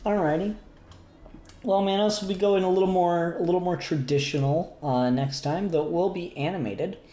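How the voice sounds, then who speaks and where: A man co-hosting a podcast, chatting casually about a review in a halting, hedging way. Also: sits high, dark tone. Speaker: podcast co-host. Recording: roomy echo, noticeable background noise.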